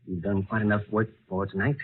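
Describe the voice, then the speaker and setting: Husky, solemn office boss, old radio. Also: male voice, on the low side, little pitch movement. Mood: gently insistent. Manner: halting, hesitant, formal. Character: mysterious, hesitant male office boss, solemn and kindly. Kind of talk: old-time radio mystery acting. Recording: tinny vintage radio recording.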